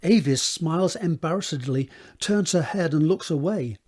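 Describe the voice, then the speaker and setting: A man narrating a scripted drama, setting each scene in a measured, descriptive read with a husky voice. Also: on the high side, darkish tone.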